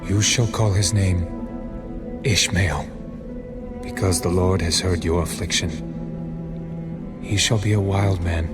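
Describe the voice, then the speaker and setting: Authoritative angel, scripture drama; low, slow, deliberate proclamations. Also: male voice, steady tone, loud music behind the voice. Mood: grave, authoritative. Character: male angel of the Lord, grave and authoritative messenger.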